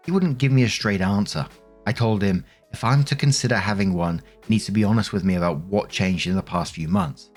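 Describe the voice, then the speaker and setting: A man's voice: lowish voice, lively intonation, a little fast, vocal fry, darkish tone, soft background music. A man narrating online posts, reading steadily and adding brief casual asides. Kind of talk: reading posts aloud with commentary.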